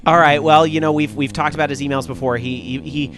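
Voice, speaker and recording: A man's voice: a little high, steady tone, fast talker, background noise. A man hosting a podcast, chatting candidly and laid-back, hedging his opinions politely. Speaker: podcast host. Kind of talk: casual chat.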